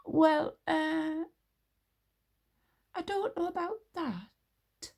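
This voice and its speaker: A woman's voice: very high voice, extremely slow, a little mellow, pitched above the performer's own voice, heavily acted. A woman voicing a flustered, pompous man who hunts witches, spluttering and babbling as he talks.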